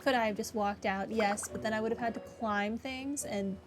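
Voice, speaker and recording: A woman's voice: a little high, steady tone, moderate pace, music in the background. A woman hosting a gaming video, giving casual playthrough commentary in wry, chatty asides.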